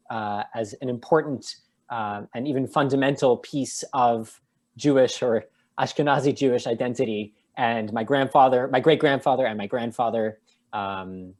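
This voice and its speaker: A man answering an interview question over a video call, thoughtful, with many pauses and restarts. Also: medium pitch, darkish tone. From United States. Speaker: guest translator.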